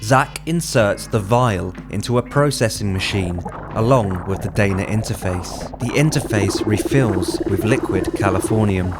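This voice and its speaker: A man's voice: lowish voice, measured pace, few pauses. A man reading screenplay stage directions as a scripted drama narration. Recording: music under the voice.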